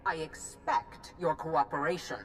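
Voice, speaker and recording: An actress playing an imperious, hawkish chancellor who speaks in a cutting, commanding way in a game cutscene. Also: female voice, sits low, steady tone, unhurried, faint music behind. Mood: angry, commanding. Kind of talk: video game cutscene acting. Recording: faint background noise.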